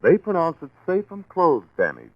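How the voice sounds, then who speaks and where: A man's voice: on the high side, huge pitch swings, moderate pace, no room sound. An American man announcing on 1940s radio in a scripted commercial delivery. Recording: dull, dry 1940s radio broadcast.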